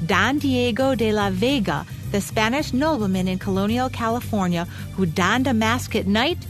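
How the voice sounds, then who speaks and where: A woman's voice: on the high side, normal speed. An American woman co-hosting a radio show with scripted narration in a polished presenter's manner. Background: music.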